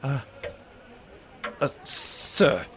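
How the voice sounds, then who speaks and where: A man's voice: drawn-out words, a bit bright, a little hiss or hum. A man playing a meek, nervous clerk in an audio drama, stammering, deferential and apologetic. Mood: flustered.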